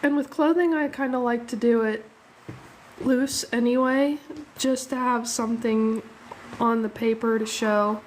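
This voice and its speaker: A young woman hosts a casual drawing stream from home, sounding slightly sniffly after a cold. Her voice sits a little higher than average, and she chats easily with viewers, with quick thanks and frequent laughs. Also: fairly even intonation, slight background noise. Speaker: art livestream host. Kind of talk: casual live drawing chat.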